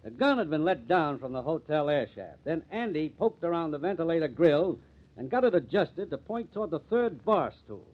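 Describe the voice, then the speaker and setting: An actor playing a sardonic, commanding police detective in a 1950s radio mystery. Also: male voice, fairly high pitch, sing-song, moderate pace. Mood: sardonic, accusing. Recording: muffled, tinny old radio recording.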